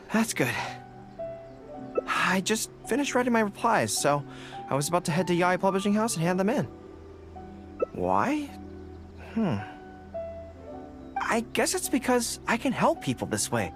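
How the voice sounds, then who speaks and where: A man playing a shy, dutiful young army general in a video game, speaking in an earnest, boyish, sometimes hesitant way. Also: high-pitched. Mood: friendly, wary. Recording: game music behind the voice.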